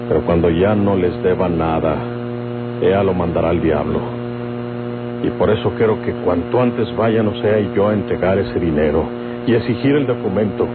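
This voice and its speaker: A man's voice: low voice, lightly acted. An actor playing a proud, aging rural Mexican gunman with folksy speech in a golden-age radio drama. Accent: rural northern Mexican. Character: aging, moneyed gunman, stubborn, proud, authoritative. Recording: old narrowband radio recording, loud hum.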